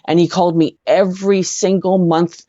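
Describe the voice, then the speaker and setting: Canadian woman, middle aged, earnest and halting, guest on a show, muffled dry lofi audio. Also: lowish voice, little pitch movement, mellow, no echo. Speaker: interview guest, singer. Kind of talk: personal storytelling in conversation.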